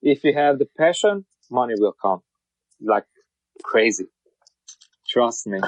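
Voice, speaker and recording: A man from Hungary speaking English with a Hungarian accent as a podcast guest, talking in broken, halting sentences with many repeated words and restarts. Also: mid-range voice, lively intonation, dry recording, low-quality recording. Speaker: guest, car wrap shop owner. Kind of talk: casual interview.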